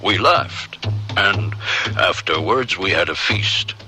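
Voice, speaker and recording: Very deep-voiced dignified Native elder. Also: male voice, animated, sharp tone, soft background music, exaggerated voice. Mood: measured, fond. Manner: measured, formal, deliberate. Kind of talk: radio drama acting and narration.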